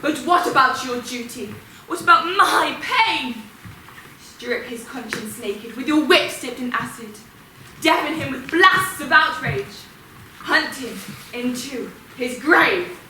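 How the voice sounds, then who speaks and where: A wronged, vengeful female ghost from Greek tragedy, acted by a woman, scornful and commanding. The voice is high and bright, declaiming urgently from a distance in a reverberant space. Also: expressive, slow, full character voice. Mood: bitter, goading. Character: vengeful female ghost rousing sleeping hunters, wounded and scornful. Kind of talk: Greek tragedy stage acting. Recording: echoey room, distant mic, faint noise.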